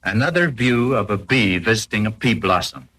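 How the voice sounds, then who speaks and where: Old 1940s school film narrator guy, kinda husky, formal and teachy, scripted, vintage soundtrack sound. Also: on the low side, animated, normal speed, pushed and bright, rough recording. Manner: formal, didactic, carefully explanatory.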